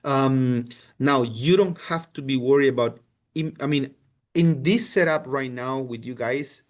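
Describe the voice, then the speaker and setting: A man's voice: mid-range voice, measured pace. A man from Colombia, a university representative, answering questions on a video call, with many restarts and fillers. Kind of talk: casual answers in a virtual info session.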